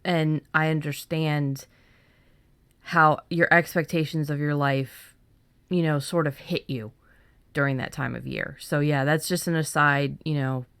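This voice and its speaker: A woman's voice: low-pitched, fairly even intonation, unhurried, fairly bright tone, clear, resonant tone, no room sound. A woman co-hosting a podcast, talking casually and informally about writing. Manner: informal, conversational. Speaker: podcast co-host. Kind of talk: casual discussion of fiction writing.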